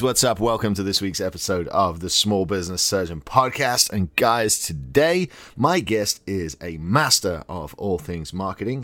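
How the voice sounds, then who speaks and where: Male podcast host, low-pitched, friendly chatty delivery, dry recording. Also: a bit bright, dry recording. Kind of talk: casual podcast interview.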